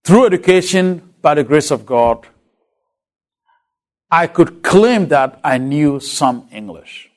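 A man's voice: fairly high pitch, animated, slow, long pauses. A man from Ghana with a Ghanaian accent, for whom English is not his first language, teaching a lecture on a Bible letter and putting rhetorical questions to his listeners.

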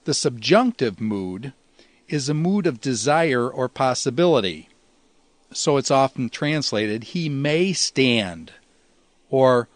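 A man speaks as a teacher walking students through a lecture. His delivery is didactic and explanatory, with a lightly folksy touch. The sound is dry, with hardly any room around the voice, and his pitch sits in an ordinary male middle range. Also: no room sound. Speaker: teacher of New Testament Greek. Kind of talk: instructional lecture, explaining with examples.

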